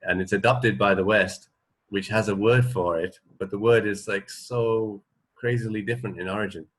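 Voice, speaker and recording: Low-voiced man thinking aloud in casual chat. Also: fairly even intonation, normal speed, a bit bright, husky. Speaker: radio show co-host.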